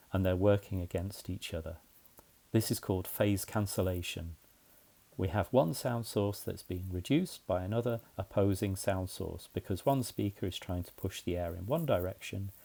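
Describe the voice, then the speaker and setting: A man's voice: sits low, creaky, dark tone. A man narrating a scripted explainer in a methodical, plain-spoken way, as a tutorial presenter.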